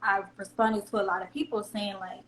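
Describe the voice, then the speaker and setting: A woman's voice: medium pitch, steady tone, fairly quick, fairly bright tone. Guest: chatty, candid Southern American woman, echoey room with a faint hum underneath. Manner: candid, chatty, laughs often. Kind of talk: casual interview chat.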